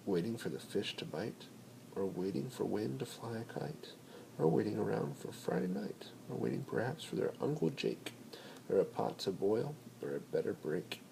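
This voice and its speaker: A man reading a children's picture book aloud in an expressive, playful, rhythmic way with dramatic pauses. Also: on the low side, vocal fry. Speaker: bedtime story reader. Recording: noisy amateur home recording.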